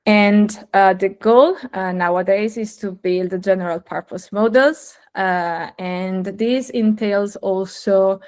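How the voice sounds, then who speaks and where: A woman's voice: mid-range voice, steady tone, takes it slowly, hardly any pauses, darkish tone. Woman, native italian speaker, young adult, hesitant technical talk, video call. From Italy. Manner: hesitant, many fillers and restarts. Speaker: guest speaker.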